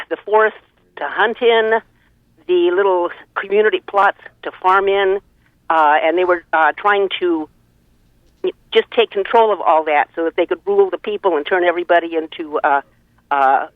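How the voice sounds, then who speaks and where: A woman's voice: fairly low pitch, no room sound. Tinny phone line, rambling woman caller. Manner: rambling, digressive, earthy. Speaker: caller to a radio talk show. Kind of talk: casual opinionated chat. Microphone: telephone.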